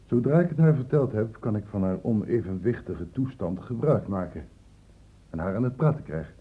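An actor playing a shrewd, paternal doctor in a radio play, speaking in a measured, patronising, ironic way. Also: male voice, lowish voice, expressive, breathy edge. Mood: cool, pointed. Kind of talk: radio play acting in Dutch. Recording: muffled, phone-like old radio recording.

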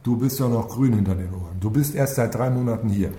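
Actor as a brusque police chief, mellow-voiced, roomy sound. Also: male voice, on the low side, fast, lightly acted. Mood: firm, impatient. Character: brusque, senior police chief pressing a young officer. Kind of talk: naturalistic radio crime drama acting. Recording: roomy sound with faint noise.

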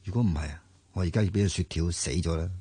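A low, dark voice that rattles along quickly, a reluctant young impostor who keeps protesting. He has a Hong Kong Cantonese accent and a slightly husky tone in a radio drama. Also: no echo. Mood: uneasy, defensive. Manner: hesitant, protesting. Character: reluctant young man posing as a tycoon.

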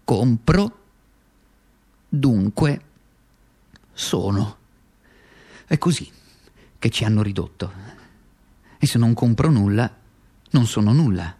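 A man's voice: fairly low pitch, expressive, slow pace, pauses often, mellow, breathy edge, full character voice. A male stage actor playing a bitter, worn-out communist in a theatrical radio monologue, impassioned and sarcastic, swinging between muttering and crying out. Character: desperate, bitter, worn-out communist man ranting.

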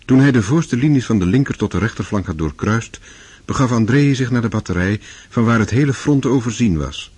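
A man reading scripted literary narration as the narrator of a radio drama, with a husky voice. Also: medium pitch, animated, fairly quick, a little mellow, no echo.

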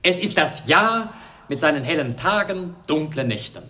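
An actor playing an ardent, confident young prince in a German radio play, declaiming poetically and rapturously. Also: male voice, on the high side, lively intonation, normal speed, sharp tone. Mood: confident, elated.

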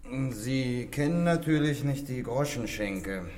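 An actor playing a resigned, bitter gang leader awaiting execution in a German radio play, halting and wry. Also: male voice, mid-range voice, animated, darkish tone, a little hiss or hum, lightly acted. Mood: bitter taunting.

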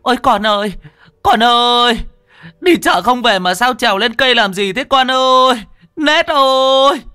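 A man voicing a frantic older village mother, wailing high and drawn out for her daughter. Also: animated, unhurried, bright, forceful tone, clean tone, soft background music, exaggerated voice.

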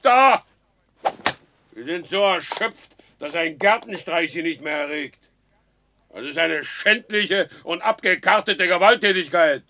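A proud horse dealer in a radio play, with a fairly high voice. He speaks in formal, emphatic German stage diction, insistent and stern, a man wronged who demands justice. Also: lively intonation, unhurried, bright, forceful tone, heavily acted. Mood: outraged. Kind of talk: German radio drama acting.

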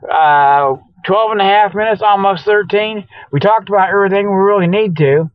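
A man's voice: sits high, normal speed, clean tone, no echo. A middle-aged man who sounds a little tired, hosting a comedy show with a rambling, folksy, jokey improvised monologue. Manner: folksy, jokey, meandering, chuckles at own jokes. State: tired, weary. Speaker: host of a comedic Bigfoot show. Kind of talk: rambling improvised monologue with mock sales pitches. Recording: dull lo-fi radio-style audio.

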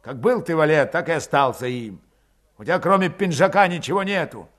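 A man voicing a proud, quick-tempered young Cossack father and war veteran in an audiobook, gruff and curt in rustic dialect. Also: on the high side, animated, a bit bright, voice pushed up. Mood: angry, contemptuous. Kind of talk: audiobook character voice.

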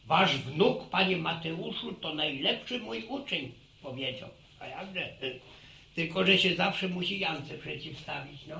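Old village grandfather, very creaky, folksy and soothing, roomy radio-drama sound. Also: fairly high pitch, animated, measured pace, bright, forceful tone, exaggerated voice. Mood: reflective. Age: older. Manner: chuckling, repeats words, rustic sayings. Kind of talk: naturalistic radio drama acting. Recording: roomy radio drama recording, faint noise.

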